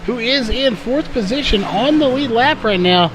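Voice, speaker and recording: High-voiced man calling a race. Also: lively intonation, normal speed, background noise. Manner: many fillers and self-corrections. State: slightly losing his voice. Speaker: play-by-play commentator. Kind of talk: live race commentary.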